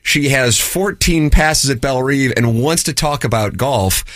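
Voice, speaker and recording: A man's voice: mid-range voice, a little slow, a bit bright, no room sound, clean studio sound. A man co-hosting a radio talk show, teasing and wisecracking with a caller. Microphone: studio mic.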